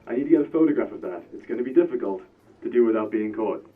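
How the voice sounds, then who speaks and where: An actor playing a commanding, teasing criminal ringleader in a radio drama. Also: male voice, fairly low pitch, animated, fast, natural voice. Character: bossy, mocking criminal ringleader directing a heist. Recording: distant, thin radio-like lo-fi sound.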